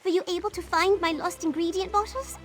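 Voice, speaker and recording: High-pitched excitable potion brewer. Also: female voice, fairly quick, soft background music. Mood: sheepish. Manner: theatrical, effusive, boastful. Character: excitable eccentric potion brewer, dreamy believer in whales. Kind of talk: video game character dialogue.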